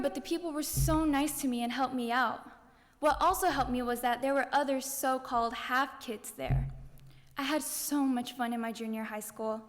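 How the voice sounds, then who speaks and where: A young woman speaks in a high voice that rings through a big hall with lingering reverb. She delivers a rehearsed personal speech as a student contestant. Her delivery is earnest, with weight placed on key words. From Japan. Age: young adult.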